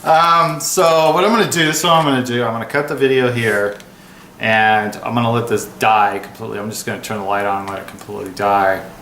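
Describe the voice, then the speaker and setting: A man's voice: on the low side, steady tone, quick pace, pushed and bright. A man giving a casual, rambling hands-on product review with an informal, offhand way of talking. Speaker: product review video host. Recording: echoey room with clear background noise.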